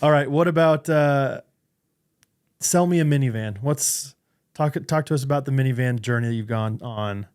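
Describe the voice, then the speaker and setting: An easygoing man hosting a podcast, who asks questions and jokes along as he goes. His voice sits at an average pitch and he keeps the tone light. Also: normal speed, a little mellow, clean tone. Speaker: podcast host. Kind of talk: casual chat.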